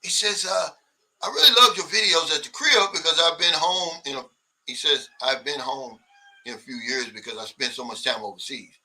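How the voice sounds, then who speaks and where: A blunt, animated man in his sixties chats on a livestream, reading out viewer comments and answering them. His voice is high and very bright, a little off the mic. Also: moderate pace, far from the mic, poor audio. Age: 60s. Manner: blunt, animated, mimics others' complaints. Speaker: livestream host.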